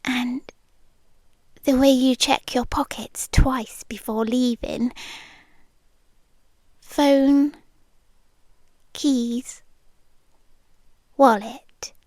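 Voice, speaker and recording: A British woman half-whispering a soft, nervously chatty ASMR roleplay into a close microphone. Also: high voice, steady tone, very slow, pauses often, no echo.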